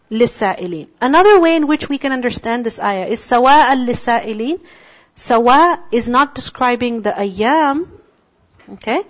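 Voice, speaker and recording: A woman teaching a Quran lesson, asking her listeners questions and answering them herself, with drawn-out Arabic recitation. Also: a little high. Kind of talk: Quran tafsir lecture. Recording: dull lo-fi recording.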